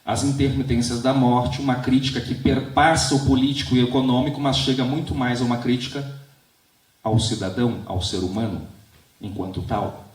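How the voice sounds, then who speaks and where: A man of medium pitch speaks on a festival panel in a reflective, measured way, often closing thoughts with a quick tag question. His voice sits well back from the mic and rings around a reverberant auditorium. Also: steady tone. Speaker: professor on a literature panel. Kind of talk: panel discussion with personal anecdotes. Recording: echoey hall, distant mic.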